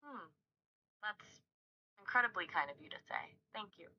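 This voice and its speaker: A woman's synthetic voice replying in a polished, effusive and flattering way. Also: medium pitch, moderate pace, slight background noise. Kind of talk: conversational reply. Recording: dull lo-fi audio.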